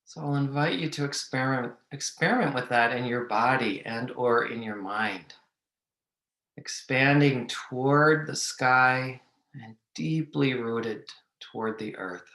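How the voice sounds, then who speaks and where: A man leading a guided meditation, giving gentle, measured instructions with long pauses. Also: mid-range voice. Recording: echoey room.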